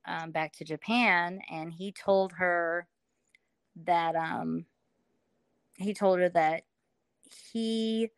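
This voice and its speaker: Middle-aged woman from alabama, lowish smooth voice, lots of um and you know, dry close sound. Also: fairly even intonation, very slow, long pauses, no room sound. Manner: chatty, many fillers, frequent laughs. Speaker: guest. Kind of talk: casual interview storytelling.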